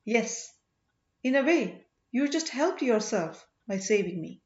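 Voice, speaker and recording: An earnest banished young king as a woman reader plays him speaks courteously and with devotion. The voice is fairly high, in storybook dialogue with noticeable room echo and a slightly dull sound. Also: animated, slow, darkish tone. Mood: apologetic. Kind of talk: audiobook character dialogue. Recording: roomy, slightly dull home recording.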